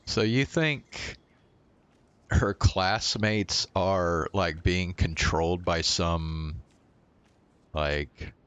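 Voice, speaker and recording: Guy streamer, deep clean voice, chill halting game commentary, thinking out loud. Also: smooth, dry recording, dull audio. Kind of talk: casual livestream gameplay commentary.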